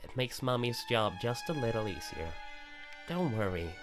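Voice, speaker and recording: A man's voice: medium pitch, very animated, moderate pace, over music, voice pushed up, natural voice. A man voicing a prim, falsely sweet mother who coaxes and scolds, in a visual novel read-aloud. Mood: sugary, coaxing.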